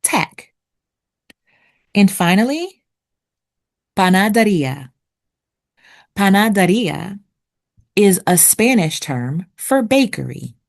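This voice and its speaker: A woman narrating for children like a teacher, with deliberate, expressive enunciation. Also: mid-range voice, gravelly. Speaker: read-aloud host and narrator. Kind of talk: children's picture book read-aloud with vocabulary teaching.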